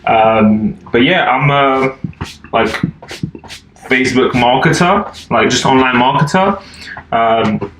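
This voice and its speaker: A young man in his twenties, originally from Albania, talks in a loose, meandering way with plenty of "like" and "uh". His voice sits at an ordinary middle pitch. The room around him rings noticeably, with a faint hiss underneath. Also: slow pace, pauses often. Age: 20s. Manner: laid-back, rambling, lots of fillers and "like". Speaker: podcast guest. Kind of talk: casual chat. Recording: echoey room, faint noise.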